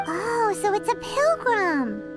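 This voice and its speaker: A woman's voice: extremely high pitch, big pitch swings, slow, full character voice. A woman voicing a chirpy, curious and cheeky childlike companion in a video game, playful and exclamatory. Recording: loud music behind the voice.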